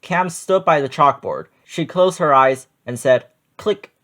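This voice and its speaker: A man's voice: on the high side, unhurried, a bit bright. A man narrating a children's storybook as a read-aloud.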